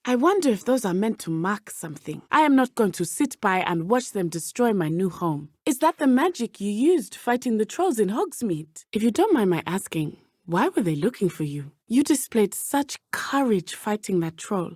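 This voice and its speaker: A woman's voice: medium pitch, very animated, moderate pace, hardly any pauses, a little mellow, no echo, studio quality. A woman with a Zimbabwean accent voicing a brave, earnest teenage witch in a video game, speaking in formal, precise phrases. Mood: earnest, concerned. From southern Africa (Matabeleland). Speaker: student companion character in a video game.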